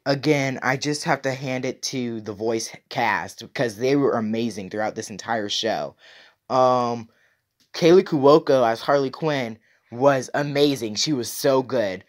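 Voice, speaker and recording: A man's voice: mid-range voice, unhurried. A man talking casually to viewers as an enthusiastic, opinionated reviewer who leans on emphatic repetition. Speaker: YouTube reviewer, host.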